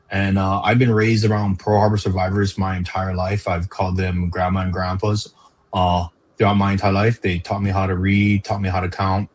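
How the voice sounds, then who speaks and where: A man from Hawaii speaking as a museum educator guest in a casual interview, relaxed and friendly, with frequent fillers. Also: sits low.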